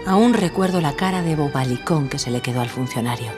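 An actress playing a witty, glamorous film-star inventor in a radio drama, with playful, theatrical delivery. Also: female voice, low-pitched, brisk, music in the background, natural voice. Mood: wry, reflective. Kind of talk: radio drama acting and first-person narration.

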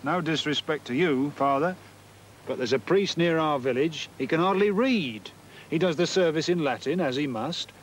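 A man's voice: fairly high pitch, animated, moderate pace, slight background noise, close to an everyday voice, rough recording. A man playing an irreverent medieval peasant in an educational drama, wry and mocking, recorded outdoors under trees. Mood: scoffing. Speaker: peasant character.

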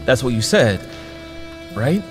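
A man's voice: mid-range voice, sing-song, takes it slowly. A man playing an awkward, earnest young captive superhero in an audio drama, speaking hesitantly. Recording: long reverb over faint music.

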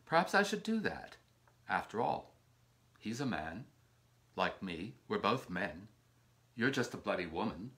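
A man's voice: fairly high pitch, sing-song, unhurried, pushed and bright, exaggerated voice. A man acting in a stage play as a cruel, agitated lover ending an affair, insistent and repetitive. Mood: bitter.

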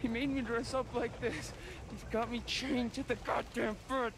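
A man's voice: extremely high pitch, fairly even intonation, normal speed, noisy background. An actor playing a young man held captive in a sailor suit, begging frantically to be freed. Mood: desperate. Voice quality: frantic, pleading.

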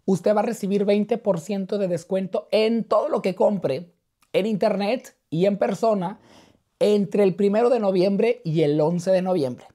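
A slightly hoarse man with a mellow voice talks to camera as a beauty vlogger. He is playful and chummy with his viewers, chatting in an animated way in a furnished room. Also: high-pitched, unhurried. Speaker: skincare video host. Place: furnished living room indoors. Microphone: clip-on lapel mic.

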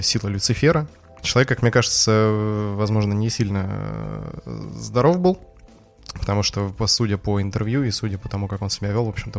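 A man's voice: on the low side, creaky. A man, a security expert on a podcast, explaining things in a hesitant storytelling way with many fillers.

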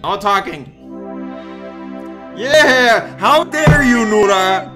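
A man's voice: animated, extremely slow. A man hosting a casual gaming session, playful and teasing, laughing often and chatting with friends over a headset mic. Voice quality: deep-sounding mic voice. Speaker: gamer host. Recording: game music behind.

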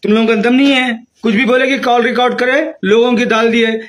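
A man in his fifties speaks with a Hyderabadi accent in a very high-pitched voice. He delivers an agitated statement to camera, scolding and repeating himself as he rants. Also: steady tone, fairly quick, a bit bright. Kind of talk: angry rant to camera. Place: small room indoors.

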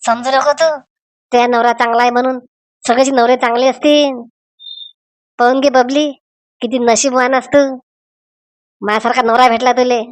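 Excitable cartoon villager, voiced by a woman: raised pitch, emphatic comic delivery, rural Vidarbha Marathi accent, dry studio-like sound. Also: moderate pace, long pauses, no room sound, close to an everyday voice. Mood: smug, taunting.

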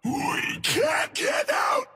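A monstrous game scarecrow demon cries out in imitation of its terrified victims. The pitch leaps wildly, and the phrases come broken and very drawn out. It all rings in a long, cavernous reverb. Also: male voice, huge pitch swings, bright, forceful tone, shouting, full character voice. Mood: desperate, haunting. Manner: slow, broken, theatrical outbursts. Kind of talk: video game character voice lines.

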